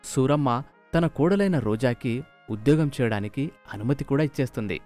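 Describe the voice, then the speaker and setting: A man narrating a scripted moral story in an expressive storytelling manner. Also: medium pitch, normal speed. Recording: music under the voice.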